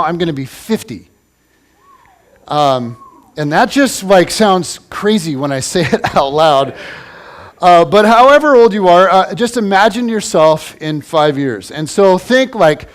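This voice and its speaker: A man in his forties giving a casual sermon as a pastor in a church, joking with the congregation and restarting his sentences often. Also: sits high. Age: 40s. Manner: conversational and joking, with many restarts.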